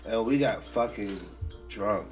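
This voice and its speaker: A man's voice: on the low side, slow, soft background music. A man co-hosting a podcast, rambling through a story in casual chat, swearing freely. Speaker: podcast co-host.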